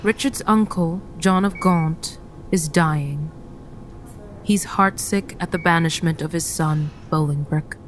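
A woman's voice: deep voice, takes it slowly, a little hiss or hum. A woman narrating a radio drama as a grave, plain storyteller in scripted present tense.

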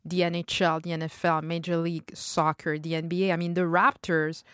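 A woman co-hosting a podcast, talking in an animated, opinionated way and laughing easily. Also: lowish voice, fairly quick, clear, resonant tone, no room sound, studio quality. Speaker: podcast co-host. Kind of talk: conversational podcast discussion.

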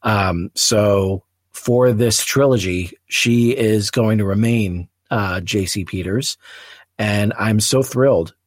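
A man hosting a podcast, reflecting alone in an earnest, measured way with pauses between short phrases. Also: fairly low pitch, no echo. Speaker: podcast host. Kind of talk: solo reflective podcast talk.

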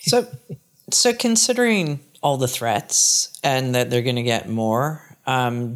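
A man's voice: mid-range voice, a bit bright, clean tone. A man hosting a podcast interview, asking long run-on questions in a conversational way. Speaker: podcast host.

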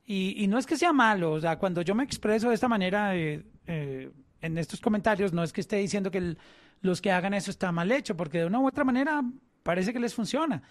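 A woman's voice: medium pitch, lively intonation, fast, no room sound. A woman with a Colombian Spanish accent hosting an interview, building long thoughtful questions for her guest. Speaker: podcast host.

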